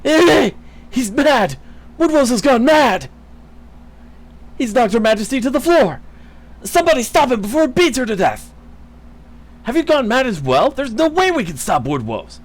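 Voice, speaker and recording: A man voicing a panicked male palace guard shouting in alarm. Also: very high-pitched, very animated, normal speed, long pauses, voice pushed up, exaggerated voice.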